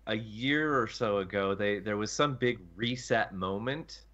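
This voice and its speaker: A man's voice: fairly low pitch, unhurried, fairly bright tone. A man chatting casually as a gaming show panelist, recorded over dull lo-fi voice chat.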